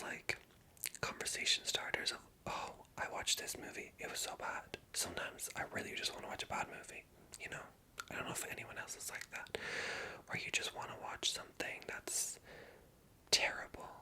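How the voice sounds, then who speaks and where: An ASMR creator speaking in a soft whisper, chatting casually and rambling along as they address viewers directly. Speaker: ASMR video creator and storyteller.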